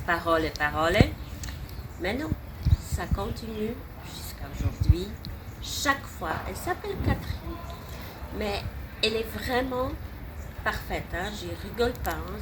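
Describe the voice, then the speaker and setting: A middle-aged woman with a Polish accent talks slowly through an unscripted testimonial at an ordinary pitch. She halts, restarts and repeats her words for emphasis. A steady wash of background noise sits clearly under her voice. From Poland. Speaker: satisfied client giving a testimonial.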